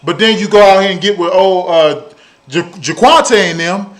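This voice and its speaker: Male vlogger, 30s, Southern US accent, high voice. Casual storytelling rant, blunt, sweary, emphatic repetition. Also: normal speed. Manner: blunt, profane, emphatic, repeats phrases for effect. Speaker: video blogger.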